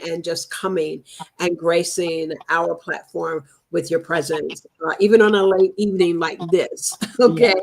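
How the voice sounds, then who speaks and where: A woman's voice: on the low side, steady tone, unhurried, vocal fry. A woman hosting a live book talk show, effusive and thankful, with frequent fillers. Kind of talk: casual book talk interview.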